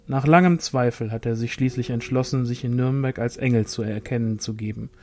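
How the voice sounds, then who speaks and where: A man reading a fantasy novel aloud as scripted narration, in a measured storytelling manner. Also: medium pitch, steady tone, darkish tone. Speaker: audiobook reader.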